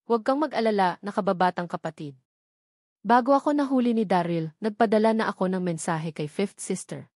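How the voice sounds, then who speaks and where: Woman reading a calm, comforting older sister. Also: mid-range voice, fairly quick, no room sound, clean studio sound, lightly acted. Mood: soothing. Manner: soothing, reassuring.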